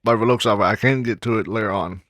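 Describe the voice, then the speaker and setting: Male gamer, slightly low voice, reading game text aloud, playthrough narration, dry close sound. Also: quick pace, a bit bright, no room sound.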